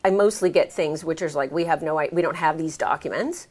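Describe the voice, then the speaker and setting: An American woman chatting casually as an interview guest, telling her experiences in an animated, exclamatory way. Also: sits low, brisk. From United States. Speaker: interview guest, American who moved to France. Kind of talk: casual conversational storytelling.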